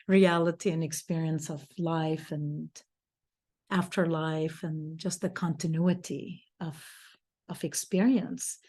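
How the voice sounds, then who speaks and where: A woman co-hosting a talk show, telling a personal story in a rambling, easygoing way with small laughs. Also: sits low. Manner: rambling, restarts, soft laughs. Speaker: co-host and speaker. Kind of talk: storytelling in casual chat.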